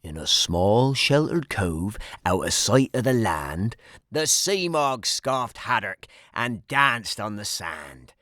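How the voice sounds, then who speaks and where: Male narrator, children's rhyming read-aloud, swooping lively pitch, bright dry sound, theatrical. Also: takes it slowly, no room sound. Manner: theatrical, dramatic delivery. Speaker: storybook narrator.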